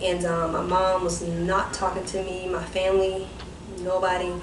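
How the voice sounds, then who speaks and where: Young woman, soft voice, rambling guest storyteller; distant, echoey recording. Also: fairly low pitch, monotone, moderate pace. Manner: rambling, self-correcting storytelling. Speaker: guest, ministry founder. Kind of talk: personal testimony in casual chat. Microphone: distant mic. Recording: roomy, distant mic with background noise.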